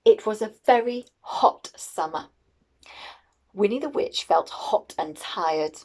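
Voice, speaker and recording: A woman reading a picture book aloud to children in an expressive, teacherly, questioning way. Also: medium pitch, slow pace.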